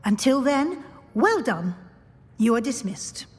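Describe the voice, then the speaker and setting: A woman playing a composed, gently stern school professor in a video game, speaking formally and with authority. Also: on the high side, big pitch swings, slow, slightly rough, exaggerated voice. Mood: composed, cautioning. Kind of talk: video game character acting. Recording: long hall reverb, faint music.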